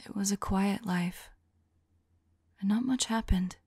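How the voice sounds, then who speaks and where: ASMR roleplay: ancient female vampire played by a woman, tender and sorrowful, mellow whispery voice with some creak, intimate and hesitant. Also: medium pitch, measured pace, no echo. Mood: wistful, tender. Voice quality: soft, breathy, whispery. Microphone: close microphone. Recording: close, dry microphone.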